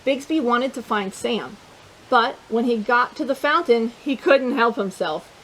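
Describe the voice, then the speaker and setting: A woman hosting a children's storytime, reading a picture book aloud in an animated, playful way, with chatty asides to her young listeners. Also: fairly high pitch, unhurried, slight background noise, rough recording.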